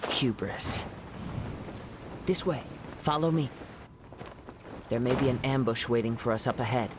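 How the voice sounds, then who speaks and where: An actor playing a calm, poetic young wandering swordsman in a video game, speaking in a composed, formal and measured way. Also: medium pitch, background noise, lightly acted. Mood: serene, watchful. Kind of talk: video game character acting.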